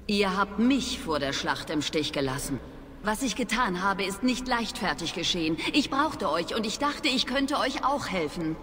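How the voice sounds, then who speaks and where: An actress playing a proud, aloof young witch in a video game, with measured, formal, disdainful speech. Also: mid-range voice, very animated, a bit bright. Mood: bitter, disdainful. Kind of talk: dramatic video game dialogue. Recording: long reverb, noisy game ambience.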